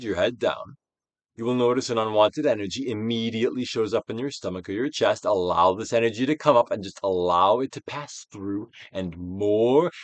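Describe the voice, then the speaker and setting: A man giving a dramatic, Shakespearean-style reading of a self-help book, deliberate and theatrical, with drawn-out emphasis. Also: no echo. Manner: theatrical Shakespearean delivery, swinging from hushed to booming. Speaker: audiobook reader.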